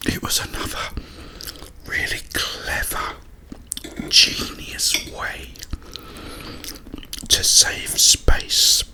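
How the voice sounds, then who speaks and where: A man speaks entirely in a breathy, unvoiced whisper. He takes his time, rambling in ASMR style with halting phrases and long pauses between thoughts. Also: sharp tone. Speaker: ASMR creator. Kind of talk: whispered ASMR ramble about video games.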